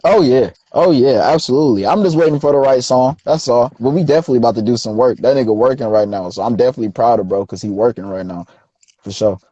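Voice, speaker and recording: A young man, a rapper being interviewed, telling stories in a relaxed, slangy way. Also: mid-range voice, fast, lo-fi sound. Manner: laid-back, slangy storytelling. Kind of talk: casual interview chat.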